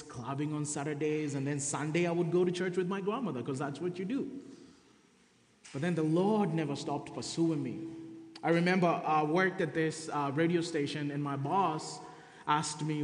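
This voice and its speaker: A man's voice: a little high, darkish tone. Kenyan man preaching in an echoey hall. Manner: earnest testimony, reading scripture aloud. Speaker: guest preacher. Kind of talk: sermon. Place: church sanctuary.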